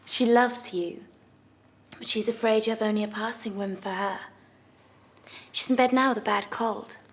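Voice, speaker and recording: A woman playing a scheming older aunt and wheedling go-between in a dramatized memoir, with a husky, breathy voice. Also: medium pitch, steady tone, moderate pace. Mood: wheedling, coaxing.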